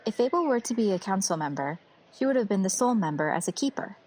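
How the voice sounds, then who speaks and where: A woman's voice: medium pitch, expressive, fast, darkish tone, breathy edge, rough recording. A woman reading scripted fantasy story narration as the narrator of an audio series. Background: festival crowd ambience.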